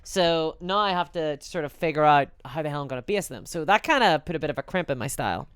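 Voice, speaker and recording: A woman streamer with a Belfast accent talks casually and candidly to her live audience. Her voice sits low for a woman but swings animatedly up and down. It is smooth and clean, conversational throughout. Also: expressive, fast, no echo, clean studio sound. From Northern Ireland.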